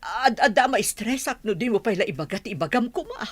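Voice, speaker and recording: A woman's voice: fairly high pitch, animated, brisk. A woman playing the fretful, inquisitive madam of a household in a radio drama, fussy and easily flustered. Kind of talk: Ilocano radio drama acting.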